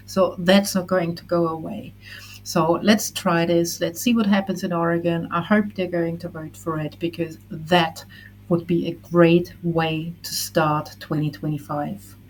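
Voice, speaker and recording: A woman with a somewhat low voice leads an online community meeting. She talks in an earnest, upbeat way and often restarts her sentences as she thinks aloud. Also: darkish tone, a little hiss or hum, poor audio. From not Switzerland. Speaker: community event presenter. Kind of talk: casual online community talk.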